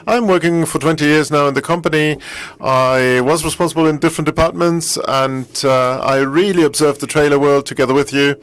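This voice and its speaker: A man's voice: a little high, steady tone, a little fast, fairly bright tone, clear, resonant tone, no echo. A middle-aged man with a German accent, a native German speaker, giving a conference keynote in English, earnest and often repeating and rephrasing himself. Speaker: company executive speaking at a press event.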